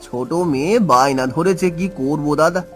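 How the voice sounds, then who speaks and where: A man playing a gentle, poor village father in a cartoon, speaking in a tender, plaintive way. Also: a little high, moderate pace, faint music behind, natural voice. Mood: humble, earnest. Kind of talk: cartoon voice acting.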